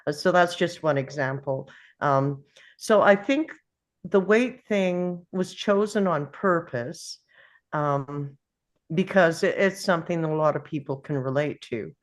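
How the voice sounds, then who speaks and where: A woman with a low voice shares her view in a casual group discussion. She speaks slowly and thoughtfully, pausing as she looks for the right words.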